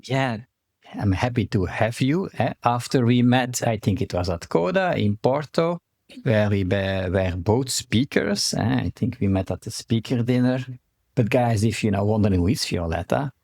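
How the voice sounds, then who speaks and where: A man's voice: on the low side, animated, unhurried, vocal fry, darkish tone, no echo, clean studio sound. A man hosting a podcast interview, asking loose, rambling questions. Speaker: podcast host. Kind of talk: conversational interview.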